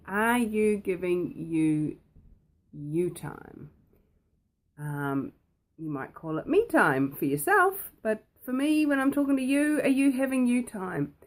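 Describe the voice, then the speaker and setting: Croaky-voiced woman hosting a talk. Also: a little high, sing-song, slow. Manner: playful, repetitive, asks the viewer questions. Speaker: host. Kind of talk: casual talk to camera.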